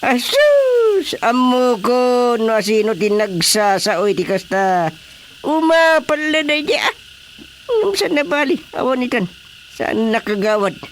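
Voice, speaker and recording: An actor playing a proud, excitable older Filipino father and village chairman in comedic radio drama, with theatrical, exclamatory delivery. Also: extremely high pitch, takes it slowly, exaggerated voice. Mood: indignant. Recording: faint background noise.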